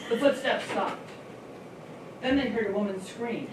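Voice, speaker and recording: A woman's voice: mid-range voice, a little slow. A woman giving a dramatic reading of a spooky story. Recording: very noisy, echoey, distant microphone.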